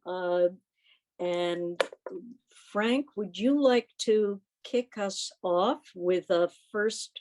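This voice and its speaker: A woman's voice: mid-range voice, extremely slow, darkish tone, poor audio. A woman informally hosting a club meeting over a video call. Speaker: meeting host.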